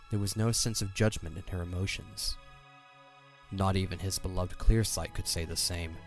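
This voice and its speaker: A man narrating a scripted fantasy story in a dramatic audiobook style. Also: low voice.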